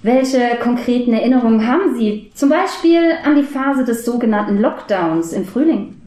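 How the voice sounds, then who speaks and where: A woman's voice: a little high, animated, moderate pace, warm tone, reverberant, close to an everyday voice. A woman playing a courteous interviewer in a scripted comedy audio play, enunciating her questions precisely. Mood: polite, curious. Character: polite woman interviewer for a school yearbook.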